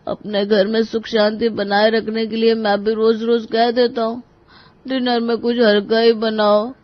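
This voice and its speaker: A man's voice: high voice, completely flat delivery, measured pace, dull audio. A man voicing a meek, miserable young husband and younger brother in a Hindi cartoon, deferential and plaintive. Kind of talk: dramatic Hindi cartoon dialogue.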